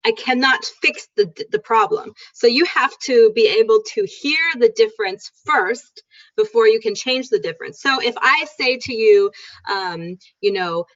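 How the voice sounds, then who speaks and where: A woman's voice: on the high side, fairly bright tone. A woman teaching in a casual chat, explaining things through examples. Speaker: English teacher. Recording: dull lo-fi sound.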